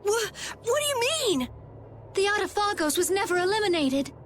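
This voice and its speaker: An actress playing an excitable young fantasy game heroine, exclaiming in a breathless, alarmed way. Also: female voice, extremely high pitch, normal speed, a little hiss or hum, full character voice. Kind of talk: dramatic video game voice acting.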